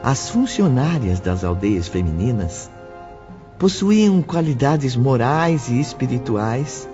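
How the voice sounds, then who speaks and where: Loud music sits under a slightly dull recording of a Brazilian man acting as a grave storyteller. He narrates very slowly and with measure, at a medium pitch. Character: measured, grave male storyteller of a spiritist tale. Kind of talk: scripted audio drama narration. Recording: muffled audio drama, loud music bed.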